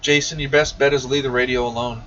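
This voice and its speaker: A man's voice: medium pitch, fairly even intonation, quick pace, fairly bright tone. Folksy man on a muffled, distant mic. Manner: folksy, slangy, talking straight to viewers. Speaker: host of a CB radio shop live stream. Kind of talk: casual livestream chat answering viewer questions. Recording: muffled, noisy, distant-mic livestream audio.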